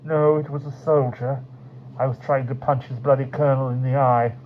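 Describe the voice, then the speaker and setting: A man's voice: mid-range voice, flat delivery, normal speed, a little hiss or hum, voice pushed up, natural voice. A man voicing a curt grown man who speaks in a muffled voice through a hurt mouth.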